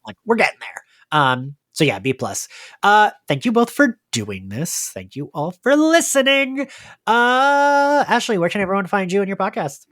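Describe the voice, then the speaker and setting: A man hosts a relaxed pop-culture podcast with a very lively, swinging intonation. His talk is informal, with casual swearing, and the recording is dry and close. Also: high voice, moderate pace, dry recording. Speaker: podcast host.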